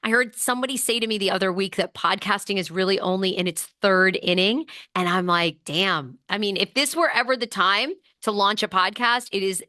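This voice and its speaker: A woman podcaster in casual chat, slightly hoarse from losing her voice, talking fast and animated with lots of fillers. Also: mid-range voice, no echo, clean studio sound. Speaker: podcast host and guest. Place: Los Angeles podcast studio.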